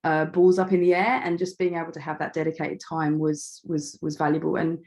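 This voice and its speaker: A middle-aged woman speaks in a fairly low, slightly croaky voice. She is chatty and informal, modest about herself, and often pauses to find the next phrase as she presents as a guest on an online call. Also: flat delivery, a little mellow. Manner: chatty, self-deprecating, hesitant phrasing. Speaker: start-up founder and guest speaker. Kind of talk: informal online talk about own background.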